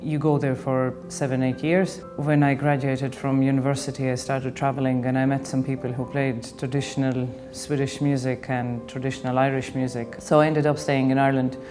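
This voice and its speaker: A man's voice: mid-range voice, level tone, brisk, few pauses. A man from Latvia with a Latvian accent, a music educator answering interview questions hesitantly with many fillers.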